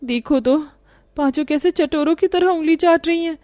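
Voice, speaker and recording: A woman's voice: high-pitched, normal speed, no room sound, voice pushed up, natural voice. A woman voicing five greedy, bossy young sisters-in-law who keep demanding food. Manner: bossy, whiny, loud.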